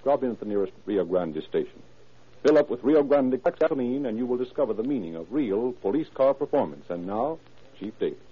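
A male announcer reads scripted copy with formal emphasis in 1930s radio style. His mid-pitched voice is slightly rough, and the old recording is thin and tinny. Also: expressive, a little fast. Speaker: announcer and narrator.

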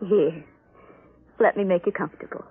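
An actress playing a sweet, soothing, devoted wife in a 1950s radio drama, with a cooing, attentive manner. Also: female voice, on the low side, moderate pace, husky. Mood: soothing, evasive. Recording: narrow-band vintage radio recording.

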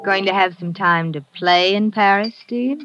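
A woman's voice: mid-range voice, normal speed, muffled recording, music in the background, natural voice. An actress playing a playful, coaxing young woman in an old radio drama. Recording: faintly noisy old radio broadcast.

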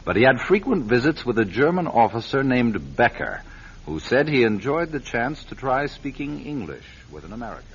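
A man giving measured, scripted dramatic narration in a vintage radio drama. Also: mid-range voice, big pitch swings, slightly rough, lo-fi sound. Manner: measured, storytelling. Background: music.

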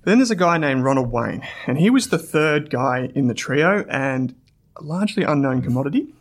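A man's voice: mid-range voice, lively intonation, moderate pace. An Australian man hosting an investing podcast, reading an ad and then telling a story to his guest in a chatty way with fillers. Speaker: podcast host. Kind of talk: podcast interview with read ad.

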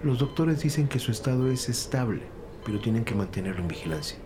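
A man's voice: medium pitch, animated, fast talker, noisy background, lightly acted. A man playing a worried, somber middle-aged father in an audio drama. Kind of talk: Spanish-language audio drama dialogue. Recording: music clearly under the voice.

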